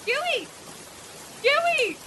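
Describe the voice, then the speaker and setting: A woman playing a sharp-tongued, jittery, scheming wife in a horror noir audio drama, with a dramatic, bossy, sardonic manner. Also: fairly high pitch, lively intonation, unhurried, big-room sound. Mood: panicked. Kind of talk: horror audio drama dialogue and first-person narration.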